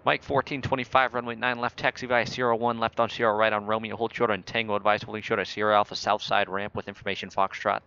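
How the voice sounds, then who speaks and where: Crisp, bright, low-voiced man. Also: flat delivery, quick pace, hardly any pauses, dull audio. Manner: mock-official controller phrasing. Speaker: host playing air traffic controller. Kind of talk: joking air traffic control radio talk in a flight simulator game.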